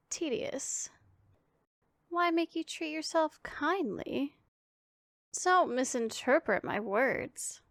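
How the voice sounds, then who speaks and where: A woman performs a powerful demon lady in an intimate ASMR roleplay. Her voice sits fairly high with gravelly fry, recorded very dry. She is imperious and teasing, choosing formal words deliberately, with care hidden under the menace. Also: dry recording. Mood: amused, bored. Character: powerful female demon, haughty, teasing, secretly caring.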